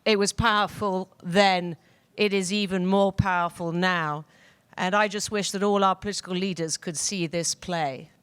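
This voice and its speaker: A woman's voice: on the low side, steady tone, normal speed, fairly bright tone, smooth, no echo. A woman moderating a live panel discussion on stage, speaking directly into a microphone as she introduces and questions guests. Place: theatre stage, live audience event.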